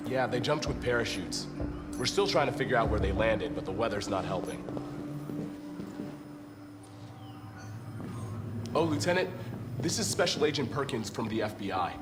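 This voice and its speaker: An actor playing a businesslike police officer in a video game, briefing in a helpful, matter-of-fact way. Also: male voice, mid-range voice, lively intonation, brisk, over loud music, lightly acted. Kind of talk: dramatic video game acting.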